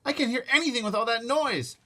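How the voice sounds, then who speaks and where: A man voicing an upset young schoolboy who shouts and pleads, for an audiobook. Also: medium pitch, wildly swooping pitch, fairly quick, husky, voice pushed up, exaggerated voice. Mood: frantic.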